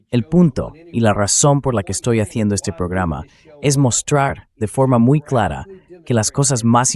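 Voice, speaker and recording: Low-voiced man giving measured political commentary. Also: darkish tone. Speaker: show host. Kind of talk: political commentary to camera, translated read-aloud.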